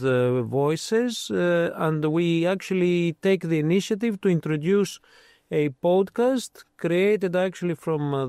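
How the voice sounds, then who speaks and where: A man with a slightly high voice introduces a student podcast, pausing often and leaning on fillers. He is a professor and program director. The sound is dry and close. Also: gravelly, darkish tone, clear, resonant tone, dry recording. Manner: halting, many fillers, repeats "most importantly".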